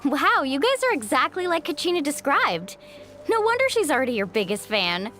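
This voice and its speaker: Lively high-voiced playful young woman. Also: normal speed, faint music behind, exaggerated voice. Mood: encouraging. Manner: upbeat, playful, encouraging. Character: breezy, energetic, teasing young woman, encouraging friend. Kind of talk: video game voice acting.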